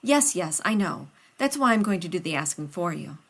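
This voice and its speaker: A woman's voice: on the low side, sing-song, normal speed, fairly bright tone, heavily acted. A woman reading a story aloud, voicing a fussy, rambling male scientist who talks over others. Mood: flustered.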